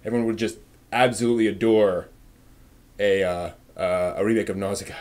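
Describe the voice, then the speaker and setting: Halting, opinionated man chatting on a live stream. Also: fairly low pitch, fairly even intonation, a little mellow. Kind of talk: casual live stream chat, replying to viewer comments.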